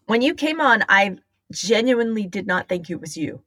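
A woman's voice: mid-range voice, very animated, normal speed, a bit bright, no room sound. A woman co-hosting a podcast with casual, teasing, wry comic banter. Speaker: podcast co-host.

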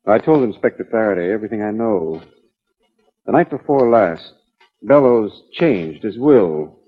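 A man's voice: fairly low pitch, animated, measured pace, husky. A man playing a busy, reluctant financial advisor in a 1940s radio drama, curt and matter-of-fact. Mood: guarded, businesslike. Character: busy, reluctant male financial advisor, guarded but businesslike. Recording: tinny, narrow-band 1940s radio recording.

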